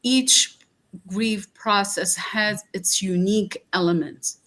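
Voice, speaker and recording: Slow, earnest woman from Iraq. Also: mid-range voice, a bit bright, rough recording. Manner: slow, earnest, with many fillers. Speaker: guest speaker. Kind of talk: reflective podcast talk.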